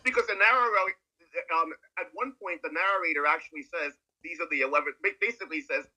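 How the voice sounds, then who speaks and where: A man's voice: a little high, fast, bright, forceful tone, poor audio. A man with a Canadian accent in casual discussion, talking animatedly and repeating words in bursts. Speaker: podcast guest co-commentator.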